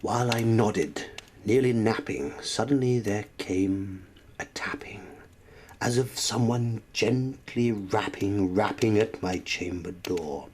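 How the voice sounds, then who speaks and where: Male reader for gothic poetry: lowish voice, measured and slow, theatrical, sinking into hushed tones, with audible background noise. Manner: theatrical, often dropping to a hushed near-whisper. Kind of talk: dramatic recitation of a gothic poem.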